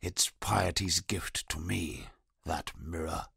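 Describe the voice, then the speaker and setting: Disfigured convict, game character, very deep, gravelly, husky, slow, brooding, sardonic, dry studio sound. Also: male voice, fairly even intonation, sharp tone, dry recording, heavily acted. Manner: low, brooding and haunted, sardonic. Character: bitter disfigured male convict, gruff and wary.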